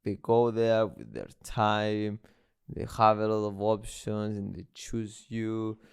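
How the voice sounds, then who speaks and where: Slow, gravelly young podcast host. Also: male voice, lowish voice. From Cyprus. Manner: slow, searching, uses kind of often. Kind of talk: casual interview chat.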